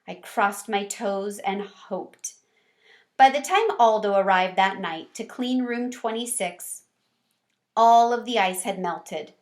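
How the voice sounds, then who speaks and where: A woman's voice: medium pitch, slow, natural voice. A woman voicing a small, cheerful, sentimental pet hamster, narrating a storybook with earnest wonder and expression. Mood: hopeful.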